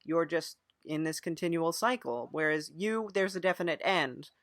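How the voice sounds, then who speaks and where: Lowish-voiced woman, casual interviewer. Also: expressive, moderate pace, dry recording, studio quality. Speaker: podcast interviewer. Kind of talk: improvised mock interview, casual.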